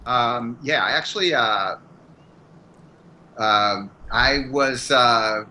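Casting note: male guest, bright and forceful tone, halting delivery with lots of pauses, faint hiss. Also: medium pitch, poor audio. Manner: hesitant, many fillers. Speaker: guest, martial arts teacher. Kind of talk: casual interview.

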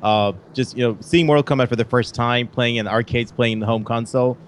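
A friendly man from Bangladesh chats as a guest on a podcast, speaking like an eager fan. His voice sits at an ordinary pitch, with a faint hiss in the background. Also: fairly even intonation, fairly quick. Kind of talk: casual interview.

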